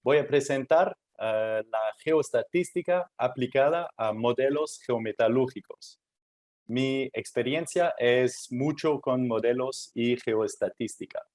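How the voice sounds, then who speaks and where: Slow, halting non-native Spanish-speaking man. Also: medium pitch, a little mellow. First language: not Spanish; Spanish is his third language. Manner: halting, many "eh" fillers and restarts, non-native phrasing. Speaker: presenter at a technical workshop. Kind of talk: technical presentation.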